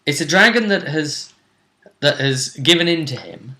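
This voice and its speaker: A man hosting a tabletop role-play show, casually recapping the action in a jokey, theatrical way with drawn-out emphasis. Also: on the high side, big pitch swings, pushed and bright. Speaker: game master and host.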